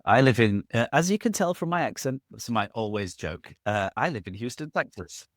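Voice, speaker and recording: A man's voice: mid-range voice, expressive, brisk, no room sound, clean studio sound. A middle-aged man, a podcast guest talking casually in a rambling, self-correcting way with jokey asides. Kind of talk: casual conversational interview.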